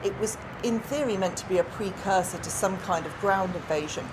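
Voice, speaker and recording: Woman correspondent over clear background noise. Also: medium pitch, a little fast. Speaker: foreign correspondent. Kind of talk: unscripted live news analysis. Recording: noisy outdoor live link, vehicle ambience.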